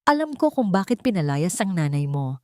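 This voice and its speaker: A woman's voice: on the low side, big pitch swings, normal speed, mellow, no echo, clean studio sound. A woman voicing a frightened, tearful old female housekeeper in an audio drama. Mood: trembling, grave. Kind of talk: Tagalog audio drama storytelling.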